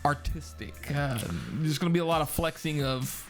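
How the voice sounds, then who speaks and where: A man's voice: on the high side, steady tone, normal speed, soft background music. A man co-hosting a film review as a casual chat, with informal, slangy phrasing.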